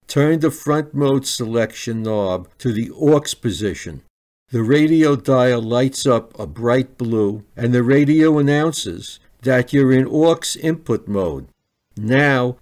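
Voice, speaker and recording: A man reading a scripted product review in a deliberate, plainly enunciated way. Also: mid-range voice, a little mellow. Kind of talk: scripted narration.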